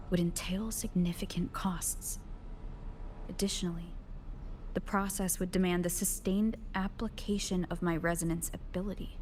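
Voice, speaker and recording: A woman voicing a composed, analytical young video game heroine in a measured, serious, scripted delivery. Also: lowish voice, darkish tone, slight background noise, lightly acted. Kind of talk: scripted game dialogue and log narration.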